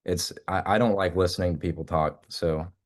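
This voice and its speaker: A man's voice: sits low, steady tone, fairly quick. A young man, a musician guest, chatting casually in an interview and rambling with many fillers and restarts.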